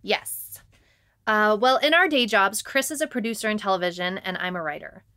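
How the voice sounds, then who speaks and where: A woman's voice: mid-range voice, moderate pace, bright, forceful tone. A woman talking conversationally as a podcast co-host.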